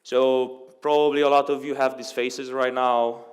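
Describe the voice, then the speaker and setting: A man's voice: medium pitch, level tone, a little slow. A young Romanian man giving a conversational technical conference talk, with frequent ums and uhs. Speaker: conference speaker. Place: conference hall. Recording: long hall reverb.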